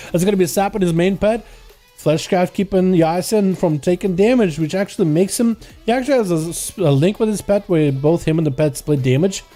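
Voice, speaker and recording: Excitable Russian-accented male game caster. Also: sits high. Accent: slight Russian. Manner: fast excitable play-by-play with laughs. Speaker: streamer and game commentator. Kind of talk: live esports commentary.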